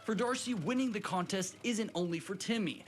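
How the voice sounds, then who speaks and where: A woman's voice: mid-range voice, fairly quick, heavy background noise. An American woman working as a news reporter, reading a scripted feature story.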